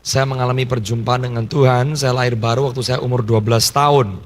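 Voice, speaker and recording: A man's voice: medium pitch, fairly even intonation, fairly quick, a little hiss or hum. A man in his thirties from Indonesia, a pastor telling his life story in a conversational sermon and dropping in English phrases. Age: 30s. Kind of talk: testimony sermon.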